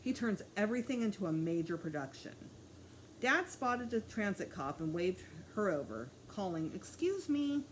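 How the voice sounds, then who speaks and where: Expressive woman reading a storybook aloud. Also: fairly low pitch, normal speed, slight background noise, poor audio. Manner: expressive, acts out dialogue. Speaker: teacher reading a children's book aloud. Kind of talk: storybook read-aloud with character voices.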